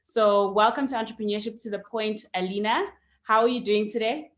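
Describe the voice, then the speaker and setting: A woman's voice: mid-range voice, brisk. Woman hosting an interview. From South Africa. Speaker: host. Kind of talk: hosted online interview.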